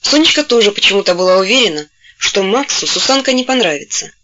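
A woman narrating a novel in a steady storytelling voice, gently taking on the characters' voices when they speak. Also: medium pitch, fast, highs cut off, heavy background noise. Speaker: audiobook narrator. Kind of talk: scripted literary narration.

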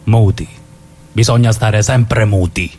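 A man's voice: fairly low pitch, steady tone, slow, darkish tone. A man playing a protective, resigned brother born into the mafia in a radio drama. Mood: bitter. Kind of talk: stage-style radio drama.

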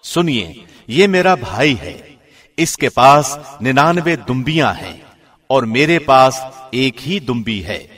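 A man reading a scripture translation from a script in a formal, measured, solemn manner. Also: medium pitch, very animated, fairly bright tone. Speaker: radio host reading a translation.

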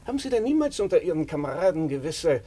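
An actor playing a blunt, shrewd doctor with a deliberate, sardonic, probing manner in a German radio drama. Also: male voice, fairly high pitch, sing-song, dark tone, breathy edge. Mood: ironic, probing. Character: blunt, shrewd, bespectacled male country doctor.

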